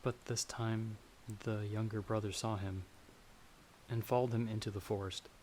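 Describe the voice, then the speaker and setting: A man's voice: fairly low pitch, flat delivery, normal speed, a little mellow, a little hiss or hum, lightly acted, poor audio. A man playing a timid, polite young farmer in an ASMR fantasy roleplay, speaking hesitantly and a little formally. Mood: uneasy storytelling. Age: young adult. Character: timid, polite young male farmer traveler, easily frightened. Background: stormy night ambience.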